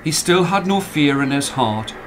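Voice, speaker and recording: A man's voice: a little high, lively intonation, normal speed, background noise. A man narrating a scripted story as a dramatic storyteller. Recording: music playing under the voice.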